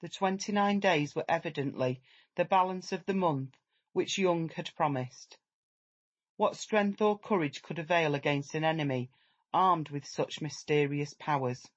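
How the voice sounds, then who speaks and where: Measured male audiobook narrator, slightly dull sound. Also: on the high side. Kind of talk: scripted literary narration, dramatic reading.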